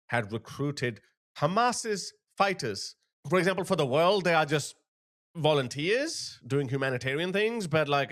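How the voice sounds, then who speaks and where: A man's voice: on the high side, a bit bright. Indignant Pakistani-born man commenting. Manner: indignant, sarcastic, with restarts and asides. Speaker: commentary channel host. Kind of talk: opinionated commentary to camera.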